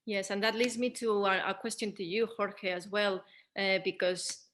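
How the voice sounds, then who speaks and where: Woman hosting a q&a panel, average pitch, careful halting way of putting things, unscripted. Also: fairly even intonation, fairly bright tone, slight background noise. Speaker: Q&A moderator.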